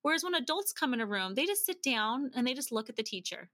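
A woman's voice: sits high, fast, no room sound, clean studio sound. A woman guest telling stories in casual conversation, acting out quotes as she goes and often saying "like". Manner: animated retelling with acted-out quotes and frequent "like". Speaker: podcast guest.

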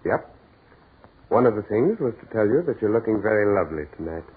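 Brisk American businessman, old radio. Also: lowish voice, sing-song, slightly rough, full character voice. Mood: teasing. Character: brisk, work-obsessed businessman, dry and evasive. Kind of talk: 1940s radio drama acting. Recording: scratchy 1940s radio broadcast, muffled, noisy.

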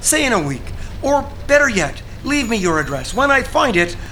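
Sharp bright male voice, prissy evasive agent, formal, condescending, exasperated, audio drama, faint background noise. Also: extremely high pitch, very animated, moderate pace, exaggerated voice. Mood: evasive, polite.

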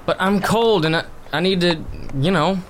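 An actor playing a young outlaw held captive, whining and nervous. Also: male voice, high voice, moderate pace, a little hiss or hum, close to an everyday voice. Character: whiny young male outlaw prisoner.

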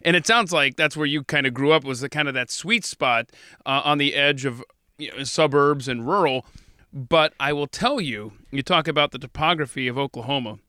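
A man hosting a casual interview, upbeat and quick to laugh, often repeating his words. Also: fairly high pitch, pushed and bright, clean tone, dry recording, clean studio sound. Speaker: podcast interviewer.

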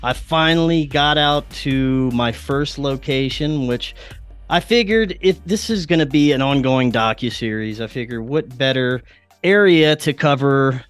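A man's voice: medium pitch, a little slow, fairly bright tone, clear, resonant tone, dry recording, soft background music. An American man from Louisiana talking casually as a podcast guest about his work, with enthusiasm and laid-back, slangy phrasing. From Louisiana, United States. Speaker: podcast guest, documentary maker.

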